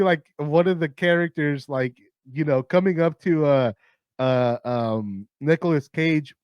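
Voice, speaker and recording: A man with a raspy, tired voice that sits fairly high for a man, talking through a film countdown in a loose, rambling way. He swears freely and keeps restarting his sentences. The sound is dry and close, with no room echo. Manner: profane, rambling, lots of restarts and fillers. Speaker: host of a movie countdown. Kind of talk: casual rambling film talk.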